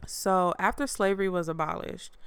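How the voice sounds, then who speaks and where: Woman, podcast host, chill flat monotone, low-ish voice, very clean and dry close recording. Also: normal speed, smooth, no room sound. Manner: casual and blunt, with swearing. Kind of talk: casual solo podcast talk.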